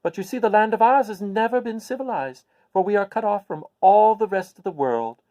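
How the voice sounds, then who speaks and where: A man voicing a kindly little old woman, a good witch from a storybook, speaking in an emphatic, deliberate way. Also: high-pitched, dark tone, no room sound, lo-fi sound, pitched above the performer's own voice, lightly acted. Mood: earnest explaining. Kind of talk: storybook character voice.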